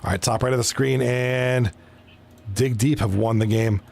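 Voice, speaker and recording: A man's voice: mid-range voice, fairly even intonation, moderate pace, vocal fry, darkish tone. A man from Toronto giving casual live commentary on an esports hockey league, chatting with his viewers between plays. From Canada (Toronto).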